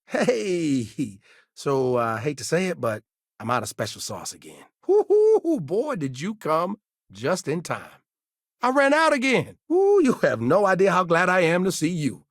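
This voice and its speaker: An actor playing an eager, gullible fast-food manager in a video game, talking in an upbeat, chatty, salesy way. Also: male voice, high-pitched, huge pitch swings, no echo, studio quality, full character voice.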